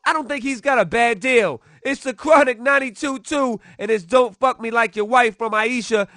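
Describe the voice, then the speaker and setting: A man's voice: very high-pitched, pushed and bright, no echo, clean studio sound. A man performing as a brash, hyped-up hip-hop radio DJ, announcing in punchy, slangy, promotional patter. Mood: amused. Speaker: radio DJ host.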